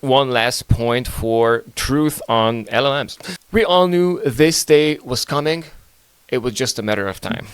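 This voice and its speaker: A man's voice: medium pitch, fairly bright tone, clear, resonant tone, no echo. Profane rambling male podcast host. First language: Hungarian. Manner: rambling, profane, joking, many fillers. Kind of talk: casual news commentary.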